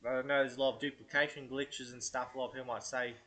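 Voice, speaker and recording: A man's voice: medium pitch, level tone, quick pace, sharp tone. A man with a head cold, talking casually and hesitantly through ideas for a game video, full of fillers and restarts. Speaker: gaming channel host. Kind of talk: casual unscripted commentary.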